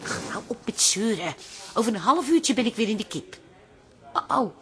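A woman acting in a Dutch radio drama as a chatty, teasing, warm-hearted working-class wife who talks colloquially and with affectionate exclamations. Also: on the high side, big pitch swings, normal speed. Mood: affectionate, weary.

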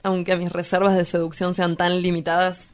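A woman's voice: fairly low pitch, level tone, moderate pace, lightly acted. A woman with an Argentine accent playing a cynical, shameless young male lover in a radio drama. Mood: coaxing.

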